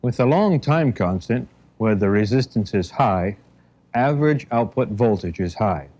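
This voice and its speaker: American guy teaching on an old 1970s training film, dark relaxed voice, careful step by step phrasing, kinda muffled audio. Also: fairly low pitch. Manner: measured, deliberate, step-by-step explaining in short phrases. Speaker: instructor narrating a training film. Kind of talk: instructional lecture narration. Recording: dull, band-limited 1970 film soundtrack.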